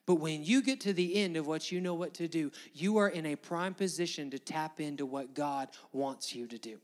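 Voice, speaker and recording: A man's voice: fairly high pitch, moderate pace. A male pastor with a Southern Alabama accent preaching a fervent sermon to a congregation, emphatic, repetitive and exhorting. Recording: long hall reverb.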